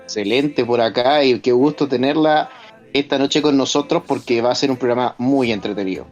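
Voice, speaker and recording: A man's voice: mid-range voice, moderate pace, lo-fi sound. A man with a Chilean accent hosting a podcast, introducing his guests in an upbeat, ironic way. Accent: Chilean Spanish. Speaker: podcast host.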